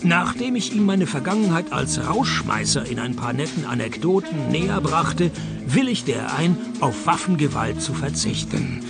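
A man's voice: fairly high pitch, normal speed, gravelly. An actor playing a laconic, sarcastic private detective who narrates his case dryly in a radio play. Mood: dry, deadpan. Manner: dry, deadpan. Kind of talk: radio play acting with first-person narration. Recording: music behind the voice.

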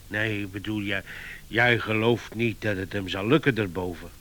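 An actor playing an earnest, flustered visitor in a radio comedy, hesitant and stammering yet insistent. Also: male voice, lowish voice, normal speed, a bit bright, natural voice. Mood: hesitant, puzzled. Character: Sam, earnest flustered visitor defending his inventor friend. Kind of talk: radio comedy drama acting.

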